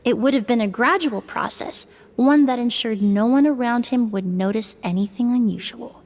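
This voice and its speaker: An actress playing a composed, analytical young woman investigator in a fantasy video game, speaking in a formal, measured, articulate way. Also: on the high side, darkish tone. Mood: grave, explanatory. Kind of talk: scripted video game voice acting.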